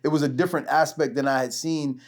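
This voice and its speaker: A man hosting a podcast, telling stories in a rambling, animated way and often pausing to search for names. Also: fairly high pitch. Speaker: podcast host. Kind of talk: casual reminiscing chat.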